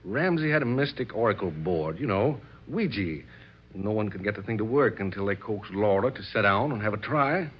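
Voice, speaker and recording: An actor playing an excitable, courteous gentleman who is devoted to séances, in a theatrical old radio drama style with effusive, old-fashioned clubman's speech. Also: lowish voice, normal speed, a little hiss or hum, natural voice. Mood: enthusiastic. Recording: dull old radio recording.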